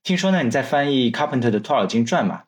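A man's voice: mid-range voice, animated, fast. A man speaking in a conversational way as he puts questions to a guest.

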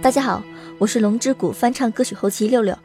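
Quick, soft-toned woman announcer. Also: on the high side, faint music behind. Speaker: singer introducing the ending song. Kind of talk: short scripted announcement.

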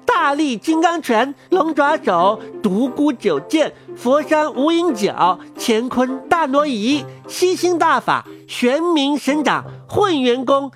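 A woman narrating a children's story in an expressive storytelling manner. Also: sits high, takes it slowly, hardly any pauses. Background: music.

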